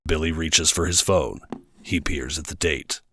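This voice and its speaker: A man's voice: rumbling low, a little slow, pushed and bright, slightly rough, no echo, studio quality. A man narrating an audio drama in a scripted storytelling style, with dramatic music behind him.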